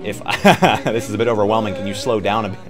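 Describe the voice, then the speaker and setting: Casual male stream co-host, lowish register, light music underneath. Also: fast talker. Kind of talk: casual livestream chat.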